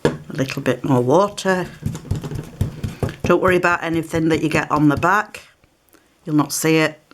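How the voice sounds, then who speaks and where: A woman's voice: deep voice, moderate pace, noisy background. A woman with a slight cold, chatting casually through a step-by-step craft demonstration at her table. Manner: relaxed, chatty, explains while working. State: has a cold, occasional coughs. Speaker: craft tutorial presenter. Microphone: overhead camera on table, hands shown.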